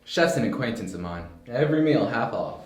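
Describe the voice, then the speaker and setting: A man's voice: mid-range voice, expressive, brisk, a little mellow, natural voice. Guy acting skeptical detective sidekick, homemade audio drama, swingy intonation, echoey, plain talker. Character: young detective's male companion, skeptical, straightforward sidekick. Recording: echoey room.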